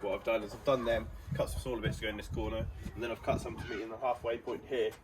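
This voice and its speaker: Low-voiced man talking through a build. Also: level tone, fast, background noise. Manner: chatty, explaining each step. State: congested, stuffy nose. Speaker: DIY vlogger. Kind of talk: casual building update. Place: garden outdoors. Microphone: phone camera.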